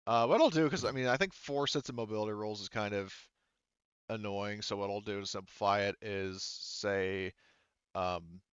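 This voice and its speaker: A man's voice: on the low side, normal speed, fairly bright tone, clean tone, no echo, lo-fi sound. A man acting as game master, casually narrating a tabletop game in an informal, joking way with some swearing.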